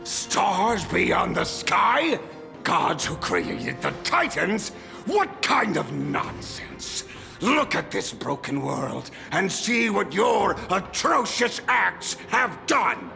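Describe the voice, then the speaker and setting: An actor playing a zealous, indignant high priest in a video game, declaiming in a strained, gravelly rant. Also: male voice, big pitch swings, takes it slowly, pushed and bright, full character voice. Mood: outraged, zealous. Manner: ranting, declamatory. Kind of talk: dramatic video game voice acting. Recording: long hall reverb, music behind.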